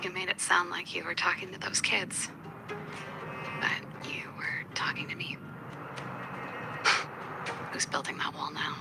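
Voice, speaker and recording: An actress voicing a reflective, candid adult sister in a video game, with a breathy, husky voice and a measured, gently wry, confiding way of talking. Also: female voice, on the low side, in a whisper, loud music behind the voice, full character voice, rough recording. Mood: wry, knowing. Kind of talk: English video game voice acting.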